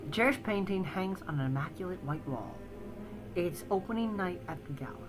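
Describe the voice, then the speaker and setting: A woman reading a visual novel aloud as narrator and player, in an expressive storytelling style. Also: fairly low pitch, a little fast. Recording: loud music over the voice. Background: music louder than usual.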